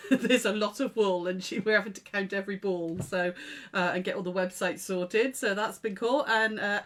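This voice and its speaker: A middle-aged woman hosting a live craft stream from her chilly home sewing studio. She sounds snuffly and blocked up, chatting casually with plenty of ums and affectionate greetings to her viewers. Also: medium pitch. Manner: chatty, warm greetings to viewers, many fillers. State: stuffy nose, snuffly. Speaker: craft shop host.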